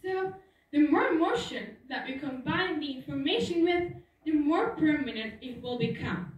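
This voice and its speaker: A teenage girl gives an earnest school talk, explaining things step by step. Her voice sits high, and the sound rings heavily around a large hall. She is picked up from some distance, off the microphone. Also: expressive, normal speed, highs cut off. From Turkey. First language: Turkish. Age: teens. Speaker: student speaker. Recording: echoey hall, voice from far off.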